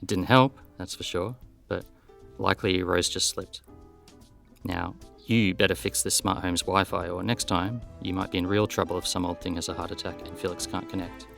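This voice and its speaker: Actor as businesslike male investigator for radio play: deep, creaky voice, plain-spoken and brisk. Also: steady tone, fairly bright tone, faint music behind. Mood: firm. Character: brisk, matter-of-fact male detective investigating robot accidents. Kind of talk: scripted audio drama.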